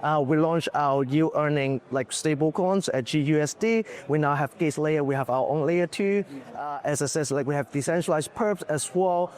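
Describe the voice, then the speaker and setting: Slightly hoarse man, chatty executive. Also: fairly high pitch, moderate pace, hardly any pauses, a little mellow. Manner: candid, loose, full of "uh" and "like". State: slightly hoarse, losing his voice. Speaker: executive interviewed as guest. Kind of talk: conference booth interview. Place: conference booth.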